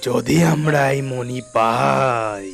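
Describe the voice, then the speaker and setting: A man voicing a greedy, menacing sorcerer with a gravelly voice in a cartoon fairy tale. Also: mid-range voice, extremely slow, a bit bright, faint music behind, heavily acted. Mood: scheming.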